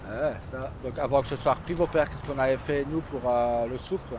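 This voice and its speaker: A man's voice: mid-range voice, moderate pace, lo-fi sound. Man chatting casually over noisy game sound. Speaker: live streamer playing a game. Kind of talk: casual chat while gaming, fillers.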